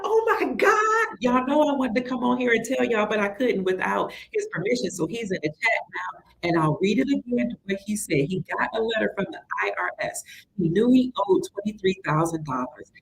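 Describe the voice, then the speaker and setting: A woman from Cleveland hosting a livestream in a chatty, excitable way, reading viewer comments and greeting them as sister. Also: fairly low pitch, slight background noise, low-quality recording. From Cleveland, Ohio. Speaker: livestream host.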